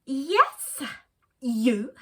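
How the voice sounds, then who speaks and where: Woman doing a high, bold, indignant pink flamingo. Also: sing-song, extremely slow, breathy edge, full character voice. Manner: high, insistent, indignant calling out. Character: bold pink flamingo confronting a big angry dog.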